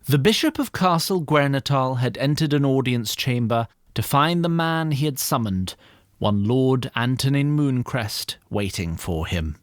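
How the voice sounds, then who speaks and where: A man's voice: mid-range voice, expressive, unhurried, dry recording, clean studio sound. A man narrating a scripted fantasy story in a formal, theatrical manner. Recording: loud music under the voice.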